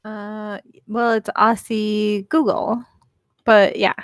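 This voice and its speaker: An American woman speaks casually as a stream co-host. She is chatty and enthusiastic, with a mid-pitched voice on a dry, close microphone. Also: little pitch movement, takes it slowly, clean tone, no echo. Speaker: livestream co-host. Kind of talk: casual chat.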